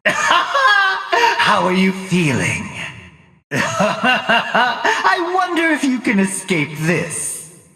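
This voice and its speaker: A man's voice: high-pitched, sharp tone, full character voice. An actor playing a cruel, condescending older mad scientist in a video game, mocking and theatrical, drawing out his words with gloating relish. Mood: sadistic glee. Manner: mocking, drawn-out, theatrical delivery with gloating cackles. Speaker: villain. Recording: echoey, reverberant hall sound.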